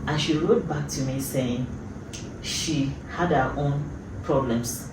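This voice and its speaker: Very low-voiced woman in her fifties, echoey. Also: slow, background noise. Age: early 50s. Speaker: YouTube host. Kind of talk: personal vlog talk to camera. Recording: echoey room, distant mic, loud music.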